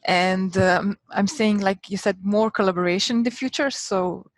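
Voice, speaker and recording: A woman moderating a video call sums up and thanks a speaker. Her tone is appreciative and her voice sits at middle pitch. The sound is dry and close, with no room echo. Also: steady tone, normal speed. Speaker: event moderator. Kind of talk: moderating an online panel. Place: indoor room. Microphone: laptop mic on a video call.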